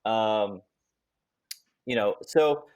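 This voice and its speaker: A man's voice: on the low side, drawn-out words, over a noisy crowd. A man from the Bay Area, moderating a casual live-streamed panel, easygoing with plenty of fillers and restarts. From California Bay Area. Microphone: home video call.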